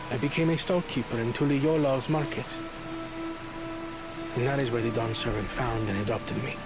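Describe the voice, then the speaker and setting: An actor playing a young catfolk prince, a protective brother who is earnest and hot-tempered, speaking in a formal, measured, dramatic way for a video game. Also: male voice, medium pitch, over loud music, lightly acted. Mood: sorrowful, wistful.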